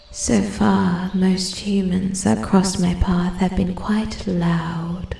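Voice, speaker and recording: Woman acting as ancient female forest spirit, dark mellow tone, soft and whispery, haughty, possessive, formal ASMR roleplay, roomy echo, birdsong behind. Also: on the low side, a little hiss or hum, exaggerated voice. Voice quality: soft, breathy, often dropping to a whisper. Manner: slow, measured, formal and condescending. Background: ambient forest sounds, birdsong.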